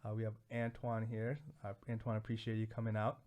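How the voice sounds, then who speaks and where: A man's voice: on the low side, monotone, fairly quick, lo-fi sound. A man hosting an interview, welcoming a guest and asking easygoing questions with short encouraging replies. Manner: easygoing, brief backchannels. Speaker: interview host.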